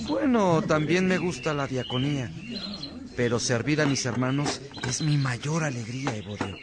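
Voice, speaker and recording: A man's voice: medium pitch, expressive, moderate pace, crowd noise, natural voice. A man acting as a cheerful, humble deacon in a radio drama. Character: Severo, cheerful, humble deacon serving his brothers.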